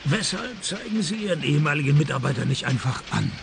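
Knarziger, trockener Privatdetektiv. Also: male voice, on the high side, quick pace, creaky, background noise. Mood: dry questioning. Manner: dry, sardonic, deadpan. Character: wry, deadpan private detective narrating his own cases. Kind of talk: radio play detective narration and dialogue.

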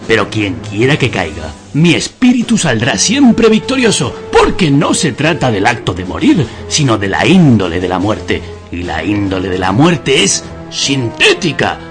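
An actor playing a pompous, exalted professor in a radio drama, solemn and grandiloquent. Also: male voice, medium pitch, wildly swooping pitch, unhurried, over music. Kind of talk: theatrical Spanish radio drama.

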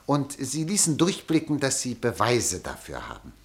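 An overbearing newspaper director for a radio play. His delivery swings widely in pitch as he presses his demands, insistent and theatrical, always hungry for sensation. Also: huge pitch swings, normal speed. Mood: pressing. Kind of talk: German radio play acting.

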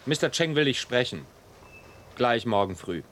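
A man's voice: medium pitch, animated, normal speed, fairly bright tone, natural voice. An actor playing a terse, menacing henchman who brings his boss's orders in a German radio drama. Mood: curt, threatening. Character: curt male henchman delivering boss's summons. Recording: outdoor drama scene with barking dogs. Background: dogs barking.

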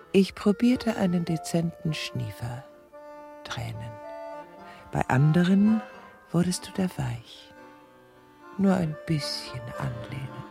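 A woman's voice: fairly low pitch, vocal fry, mellow, full character voice. An actress playing a lonely, lovesick middle-aged woman in a radio drama, scheming and self-pitying, with an intimate, confiding, dramatic delivery. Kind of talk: German radio drama acting, dialogue and confiding inner narration.

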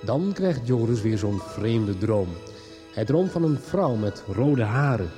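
A man's voice: mid-range voice, lively intonation, slow pace, mellow. A man narrating a radio soap recap in a scripted storytelling style. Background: music.

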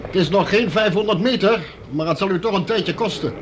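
A fairly high male voice , acted by a man, plays a courteous station guard in a radio drama. He is deferential and chatty, with clear urban noise around him. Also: a little fast, lightly acted. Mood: helpful. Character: polite, talkative male underground station guard. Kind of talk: Dutch radio play acting, conversational.

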